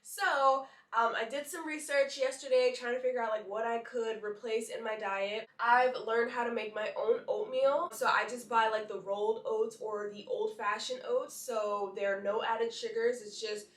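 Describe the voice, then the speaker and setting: A woman's voice: fairly high pitch, steady tone, normal speed, few pauses. Young woman vlogging with a cold, stuffy nasal voice, says "like" a lot, kinda far from the mic in an echoey room. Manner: chatty, leans on "like" fillers. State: congested from a cold. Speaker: vlogger and model. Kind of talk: casual chat to camera.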